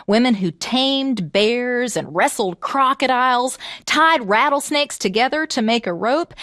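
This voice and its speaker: A woman telling folk tales as a presenter, with expressive, theatrical, emphatic phrasing. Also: a little high, dry recording, clean studio sound. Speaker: storyteller and presenter. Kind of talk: animated storytelling narration of American folklore.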